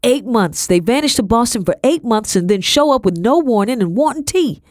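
A woman voicing a bossy, fast-talking middle-aged housekeeper with rustic grammar in an audiobook. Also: a little high, expressive, darkish tone, no echo, studio quality, voice pushed up, natural voice. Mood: scolding. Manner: brisk, scolding, rustic grammar. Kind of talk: audiobook character voice.

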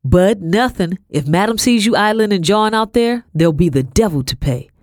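A bossy middle-aged housekeeper who barely stops for breath, as a woman reads her in a storybook. She talks high and loud with rough country grammar, and the recording is dry and close. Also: animated, no room sound, natural voice. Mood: brusque. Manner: brisk, scolding, rustic grammar. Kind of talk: audiobook character voice.

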